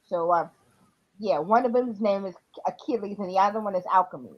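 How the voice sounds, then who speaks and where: A woman's voice: medium pitch, fairly quick, dull audio. Woman in her forties, rambling casual chat. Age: 40s. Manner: rambling, many ums while listing.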